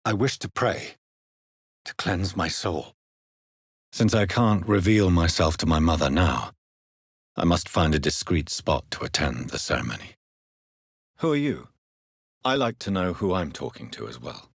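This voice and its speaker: A grave gentleman doctor turned vampire in a video game. His voice is very low and husky, moving expressively through formal, measured phrases. He stays courteous yet stern, in a close, dry recording. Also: lively intonation, no room sound, exaggerated voice. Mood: probing. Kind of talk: scripted video game character dialogue.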